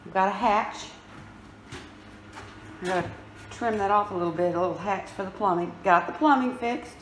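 A woman's voice: medium pitch, moderate pace. Echoey woman, casual. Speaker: home renovation vlogger. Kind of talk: casual walk-through narration. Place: unfinished house under renovation, indoors. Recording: echoey bare rooms, background noise.

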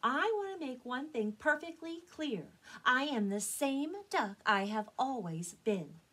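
A woman's voice: fairly low pitch, big pitch swings, normal speed, slightly rough, exaggerated voice. A woman voicing a devoted young male duck in a children's story, speaking earnestly and reassuringly. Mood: proud, firm.

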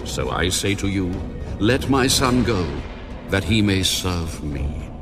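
God in a bible audio drama, really deep, grave n commanding, big music behind. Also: male voice, lively intonation. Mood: solemn, commanding. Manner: grave, deliberate, authoritative. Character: God, commanding, solemn, all-powerful male deity.

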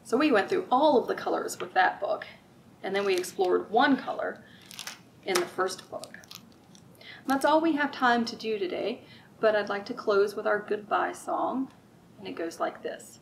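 A middle-aged woman reading aloud at a children's storytime, expressive and sing-song, drawing out rhymes and putting questions to young listeners. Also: medium pitch, normal speed, gravelly, slight background noise. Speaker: children's librarian, storytime host. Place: library room with bookshelves, indoors.

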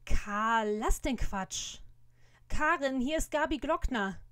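High-voiced young girl detective, played by a woman. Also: expressive, takes it slowly, fairly bright tone, clear, resonant tone, no room sound, clean studio sound, full character voice. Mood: brisk. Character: Gabi, young female amateur detective, businesslike. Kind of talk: German audio drama parody acting.

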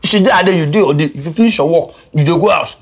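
A Nigerian actor playing a talkative, scoffing friend who exclaims and repeats words for emphasis in film dialogue. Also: male voice, fairly high pitch, rapid-fire, husky. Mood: animated scoffing. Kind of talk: comedic film dialogue in Pidgin.

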